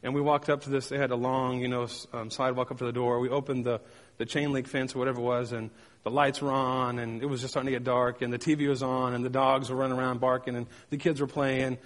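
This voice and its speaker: A man's voice: mid-range voice, level tone, quick pace, long reverb tail. A man delivering a sermon, telling personal stories with emphatic repetition and playful sound effects. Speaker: pastor.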